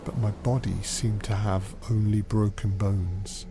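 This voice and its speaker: A man narrating a dark gothic audio story as its haunted first-person teller. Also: on the low side, fairly even intonation, unhurried, background noise. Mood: ominous, uneasy. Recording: music playing under the voice.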